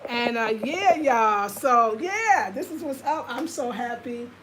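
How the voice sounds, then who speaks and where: A woman's voice: fairly high pitch, animated, slow pace, very noisy. A woman in her fifties with an American accent, chatting casually and confidingly to viewers on her livestream, full of fillers. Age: 50s. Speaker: lifestyle vlogger.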